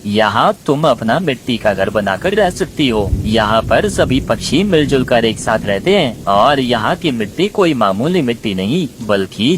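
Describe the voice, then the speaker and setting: A man's voice: medium pitch, a little hiss or hum. A man voicing a friendly, brotherly cloud in a cartoon, speaking reassuringly. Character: kindly male rain cloud, friendly and brotherly. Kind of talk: Hindi cartoon voice acting.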